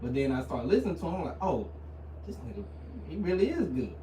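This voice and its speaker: A man in his thirties, co-hosting a casual podcast chat, telling stories with lively, laughing energy. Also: fairly high pitch, fast talker. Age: 30s. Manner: animated, laughing storyteller. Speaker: podcast co-host. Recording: roomy sound, distant mic, faint noise.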